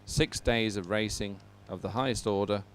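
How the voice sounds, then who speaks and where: A man's voice: fairly low pitch, fairly even intonation, moderate pace, slight background noise. A man presenting live coverage of a sporting event to viewers, talking as a broadcast host.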